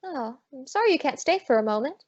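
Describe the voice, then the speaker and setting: A woman's voice: high-pitched, big pitch swings, a little fast, a little mellow. A young woman playing a spirited female private detective, breezy and wry, in old-time radio detective style. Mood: bright, social. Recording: dull lo-fi audio, very dry.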